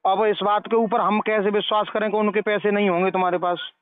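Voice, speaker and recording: A man speaks over a narrow phone line with a fairly high, smooth and resonant voice. He moralizes like someone giving advice, often repeating his words to drive a point home. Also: little pitch movement, brisk, dry recording. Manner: moralizing, preachy, repeats phrases. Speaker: caller questioning and advising the other party. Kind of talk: phone conversation. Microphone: telephone. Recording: narrow tinny phone-call recording.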